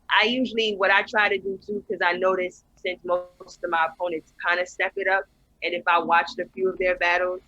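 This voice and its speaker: Soft-spoken, bright-voiced New York man. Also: high voice, dry recording. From United States. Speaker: guest battle rapper. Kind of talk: casual interview chat. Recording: dull, muffled dry audio.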